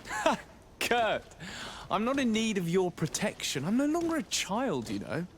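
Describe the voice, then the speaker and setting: A man's voice: high-pitched, very animated. Courteous young nobleman, noisy backdrop. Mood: playful. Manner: formal, polite, measured. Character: courteous young nobleman, composed, diplomatic, gently teasing. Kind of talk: video game dialogue acting.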